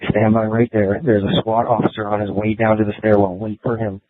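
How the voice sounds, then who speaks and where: A man's voice: on the low side, flat delivery, fairly quick. A male police officer giving terse tactical instructions over a police radio. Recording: tinny narrowband police scanner radio audio.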